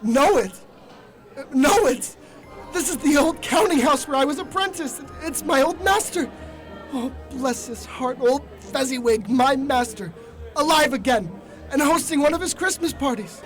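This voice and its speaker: A woman's voice: sits high, expressive, measured pace, rough recording. Radio drama: frightened, remorseful old miser played by young woman, theatrical and stammering, faint music underneath. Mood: joyful nostalgia. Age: young adult. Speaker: student actor.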